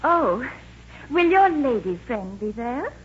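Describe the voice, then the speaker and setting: An actress playing a timid, polite young stowaway with an English accent in 1940s radio style. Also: female voice, a little high, very animated, slow, breathy edge, exaggerated voice. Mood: anxious, wistful. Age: young adult. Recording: dull old radio recording with music.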